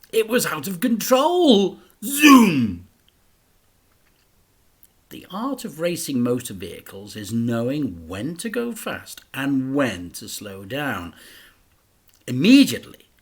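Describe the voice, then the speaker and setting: A man, a teacher, reading a children's story aloud, shifting between hushed whispers and loud acted-out crash sounds. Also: medium pitch, breathy edge. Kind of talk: dramatic storybook read-aloud.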